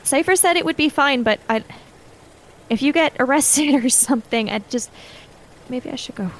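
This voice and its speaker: A woman playing an anxious, hesitant and loyal young female thief in a tabletop game, with halting delivery. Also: high voice, pitched above the performer's own voice, lightly acted. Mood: worried, pleading.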